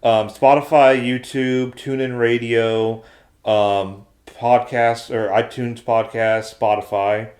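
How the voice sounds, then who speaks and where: A man's voice: mid-range voice, level tone, takes it slowly, a little mellow. A man hosting a podcast in a casual, chatty style. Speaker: podcast host. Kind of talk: casual chat.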